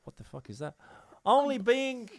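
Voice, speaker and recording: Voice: medium pitch, very animated, normal speed, creaky, no echo. A podcast host reading listener mail aloud in a jokey, sweary way, often stumbling over the text.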